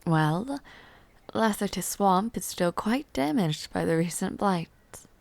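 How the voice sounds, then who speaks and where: A woman playing a refined, gentle, tired noblewoman in a fantasy audio roleplay, speaking formally and softly in measured phrases with hesitant pauses. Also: lowish voice, animated, creaky, dry recording, heavily acted. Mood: composed, patient. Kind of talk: fantasy audio roleplay, intimate one-sided dialogue.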